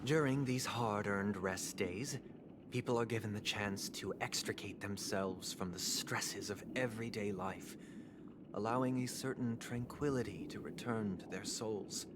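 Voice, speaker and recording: A man voicing a serene, eloquent game villain, speaking in a solemn, measured, oratorical way. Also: fairly low pitch, heavy background noise, close to an everyday voice. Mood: calm persuasion. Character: serene, eloquent male villain preaching an eternal dream paradise. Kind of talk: theatrical video game dialogue. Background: prominent game music.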